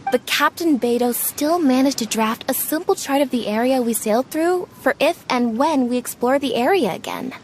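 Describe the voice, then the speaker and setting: An actress playing a studious young female ship's navigator who explains things politely and gently, in a game's dialogue. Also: high-pitched, animated, moderate pace, few pauses, a little hiss or hum. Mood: earnest. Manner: soft, polite and explanatory, with light laughs. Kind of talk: game character dialogue. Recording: game audio with faint background music.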